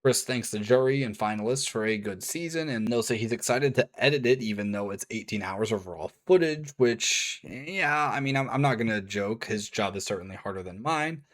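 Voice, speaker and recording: A man reading a scripted review, with casual offhand asides and a voice gone strained and a little hoarse. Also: lowish voice, lively intonation, normal speed, few pauses. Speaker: video reviewer.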